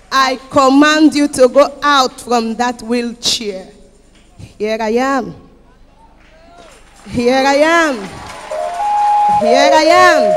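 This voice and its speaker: Middle-aged woman, high-pitched, emphatic testimony to a congregation, reverberant hall PA. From Equatorial Guinea. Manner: emphatic, declamatory, repeating phrases. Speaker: church member giving testimony. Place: church auditorium. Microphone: handheld microphone. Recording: echoey hall PA with music behind.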